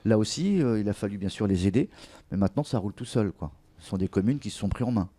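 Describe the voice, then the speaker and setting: A man's voice: lowish voice, animated, fast talker, warm tone. A man hosting a conversational broadcast interview, asking questions in an informal way. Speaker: interviewer, show host.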